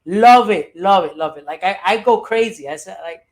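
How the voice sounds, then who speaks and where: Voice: medium pitch, normal speed. A podcast host in conversation, affirming the guest and tagging points with "right?". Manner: warmly affirming, frequent "right?" tags. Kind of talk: conversational interview.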